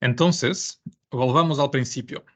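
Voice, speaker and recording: A man with a Portuguese accent, presenting a webinar in careful, slightly halting non-native Spanish as an information management adviser. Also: mid-range voice, a bit bright.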